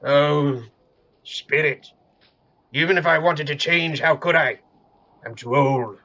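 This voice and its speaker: A man's voice: on the high side, slow, bright, forceful tone, highs cut off, heavily acted. A man playing a miserly, grumpy old man in an audio play, with an indignant, curmudgeonly manner. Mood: troubled.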